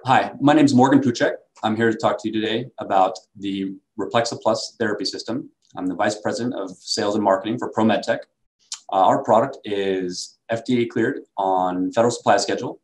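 A man presenting a product as a company sales executive, in a measured, explanatory way. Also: fairly low pitch, rough recording.

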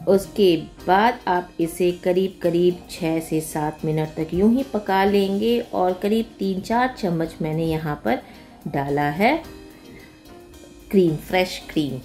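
Woman, Indian accent, slightly low voice, step-by-step recipe narration, English phrases mixed in, music behind. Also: darkish tone. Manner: mixes English words and phrases into her talk. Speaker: cooking show host. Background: background music.

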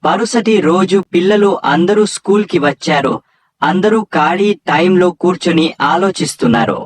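A man narrates a children's story in a scripted storytelling style. His voice sits a little high for a man, and the recording is dry and close. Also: lively intonation, moderate pace, dry recording.

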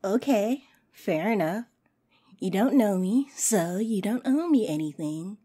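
Woman acting as playful imp girl, coy teasing, obsessively smitten, unhurried pace, swooping intonation, roleplay audio. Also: medium pitch, lively intonation, dark tone, husky. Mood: playful, taunting. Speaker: voice actress.

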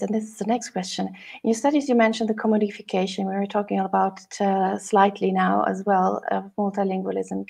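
Husky, gravelly woman hosting a call. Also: medium pitch. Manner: asks questions, gentle affirmations. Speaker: host. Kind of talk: video call interview.

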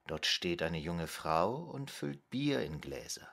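An actor playing a calm psychoanalyst who guides a patient into trance with measured, soothing commands, in an audio drama. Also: male voice, low-pitched, vocal fry, full character voice. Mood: calm, hypnotic. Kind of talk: slow hypnotic guided induction in an audio drama.

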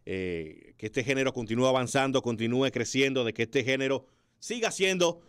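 A man with a Dominican accent hosting a casual urban music interview on radio, effusive and quick to exclaim. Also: mid-range voice, lively intonation, a bit bright, clear, resonant tone. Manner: effusive, frequent exclamations of wow. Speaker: radio host. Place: small radio studio. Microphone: close studio microphone with headphones.

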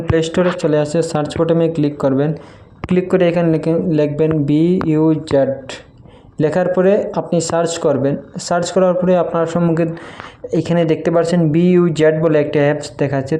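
A man walks listeners through a phone app. His voice is soft-edged and mellow, sitting a little high for a man. He instructs informally, repeating each step, over faint background noise. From Barak Valley, Assam, India. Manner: repetitive, instructional phrasing. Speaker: tutorial video creator.

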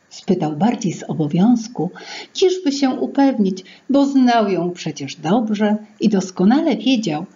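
Slow, animated middle-aged woman storyteller. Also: medium pitch. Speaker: author reading her own fairy tale. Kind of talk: expressive storytelling for children. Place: small indoor room at home. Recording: dull lo-fi sound, music behind. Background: music.

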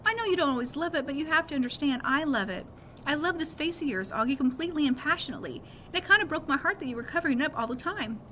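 A woman's voice: sits high, expressive, fast, bright, forceful tone, slight background noise, pitched above the performer's own voice. A middle-aged woman voicing an affectionate, easygoing father in a storybook, coaxing and emphatic. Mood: pleading.

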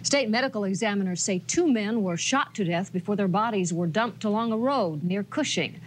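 Casting: female TV news anchor, medium pitch, reading scripted copy. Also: normal speed, a little hiss or hum. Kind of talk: scripted newscast delivery.

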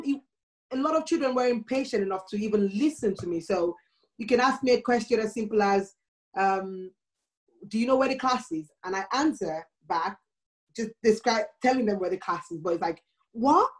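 A woman's voice: a little high, animated, normal speed, rough recording. A woman from Nigeria with a Nigerian accent, speaking as a guest on a video call panel in an earnest storytelling way.

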